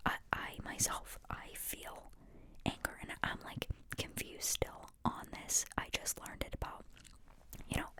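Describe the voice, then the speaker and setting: A woman talks in a soft, breathy whisper with almost no voice behind it. She rambles reflectively in an ASMR style, earnest and informal, often questioning herself as she explains. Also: poor audio. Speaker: host sharing reflections on a psychology book.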